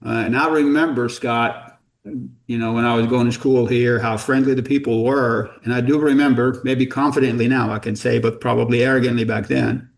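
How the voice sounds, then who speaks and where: A man's voice: mid-range voice, steady tone, fairly quick, gravelly. A middle-aged man from Jordan, a company founder as podcast guest, telling stories in a rambling, self-deprecating way with many false starts. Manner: rambling, self-deprecating, repeats words when starting sentences. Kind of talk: casual storytelling interview.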